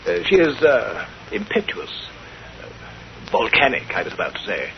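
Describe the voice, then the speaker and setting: An actor playing a haughty, wounded aristocrat with an English accent, speaking in a stiff, halting, pompous way in a 1930s radio drama. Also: male voice, mid-range voice, wildly swooping pitch, gravelly. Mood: stiff, deliberate. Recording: noisy, muffled 1930s radio recording.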